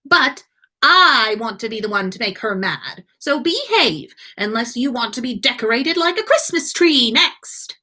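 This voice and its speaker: A woman's voice: fairly high pitch, expressive, a little slow, bright, forceful tone, voice pushed up, heavily acted. A woman voicing a mischievous, nasty male poltergeist with a gleeful, taunting sing-song manner in a storybook reading.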